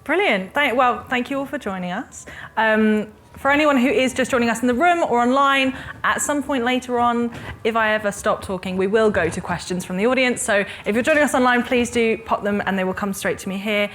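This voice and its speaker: Bright-voiced woman moderator. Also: fairly high pitch, fairly quick, slight background noise. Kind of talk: moderating a panel.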